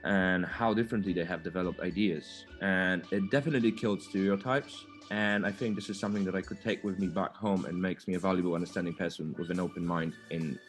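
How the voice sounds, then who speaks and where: A man's voice: deep voice, steady tone, fast, hardly any pauses, faint music behind. A man from Poland giving a thoughtful, reflective testimonial. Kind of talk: video testimonial.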